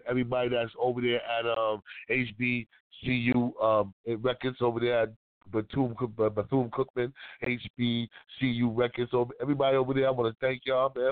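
A man DJ talks to his listeners in a relaxed, slangy flow, his nose stuffed up from a cold. His voice sits at a middling pitch and sounds thin and tinny, like a phone line. It is dry, with no room around it. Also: dry recording. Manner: laid-back slangy patter. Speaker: radio DJ host. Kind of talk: casual radio show talk. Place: his own small studio.

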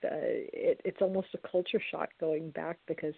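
Halting woman on a phone-like line. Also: deep voice, animated, gravelly, slightly rough. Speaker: radio play cast member being interviewed. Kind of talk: casual chat. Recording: tinny, phone-like call audio.

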